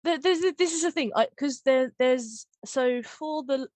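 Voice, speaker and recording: A woman hosting a casual behind-the-scenes chat, gushing and giggly as she retells things in an animated way. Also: high voice, measured pace, no room sound. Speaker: podcast host and audio drama producer.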